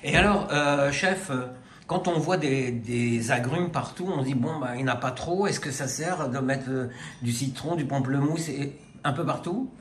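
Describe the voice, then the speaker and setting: A man's voice: medium pitch, moderate pace, slight background noise. Man, interviewer, chatty questions, roomy echo, faint ambience.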